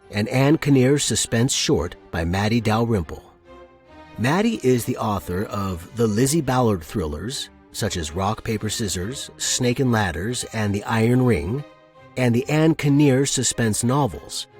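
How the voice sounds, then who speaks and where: A man's voice: medium pitch, expressive, measured pace, a little mellow, faint music behind. A man hosting a fiction podcast and narrating short stories. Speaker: podcast host and story narrator. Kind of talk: casual intro and scripted audiobook narration.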